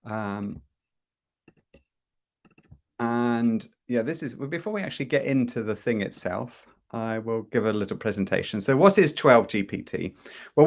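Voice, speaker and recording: A middle-aged man presents over a video call from a room at home. His talk is loose and chatty, full of "um", "well" and restarts, at an average pitch for a man. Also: darkish tone. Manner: chatty, with frequent fillers and restarts. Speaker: host presenting a product demo. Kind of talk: casual live presentation.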